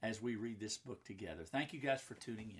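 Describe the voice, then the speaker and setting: A man's voice: fairly low pitch, lively intonation, brisk, slight background noise. Middle-aged man reading to children. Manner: simple explaining to young listeners. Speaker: pastor and children's book author. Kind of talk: children's story reading with chatty asides. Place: indoor book-lined room at home or office.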